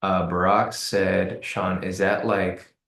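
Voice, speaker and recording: A man hosting a live trading stream, hoarse and raspy from the cold, talking casually with many fillers and repeated words. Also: sits low, flat delivery, slow, fairly bright tone, echoey room. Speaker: trading livestream host. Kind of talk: casual live-stream commentary answering chat.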